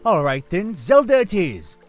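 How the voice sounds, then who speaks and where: A man's voice: high voice, big pitch swings, measured pace. A man voicing a young, earnest fish-folk prince in an audio drama, speaking formally. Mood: cheerful. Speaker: voice actor. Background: music.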